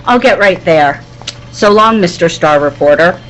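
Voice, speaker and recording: A woman's voice: on the low side, animated, normal speed, lightly acted, poor audio. A woman playing a sardonic newspaper reporter with a dry, grudging manner, in old-time radio serial style. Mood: reluctant, wry. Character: sardonic, reluctant female star newspaper reporter.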